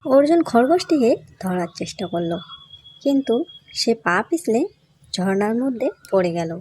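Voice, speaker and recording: A woman's voice: a little high, expressive, normal speed, darkish tone, slight background noise. A woman narrator reading a scripted fairy tale for children.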